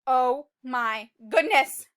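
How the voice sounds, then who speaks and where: A woman reading a picture book aloud as a teacher, expressive and exclaiming, prompting young children with questions. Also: high voice, drawn-out words, smooth.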